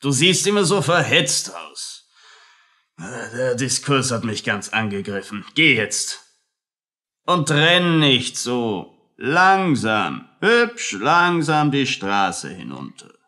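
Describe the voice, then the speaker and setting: A man's voice: mid-range voice, sing-song, bright, forceful tone, heavily acted. An actor playing a condescending, self-pitying male army captain in a radio play, drawing out repeated phrases. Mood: patronising, self-pitying. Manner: patronising, drawn-out repetitions.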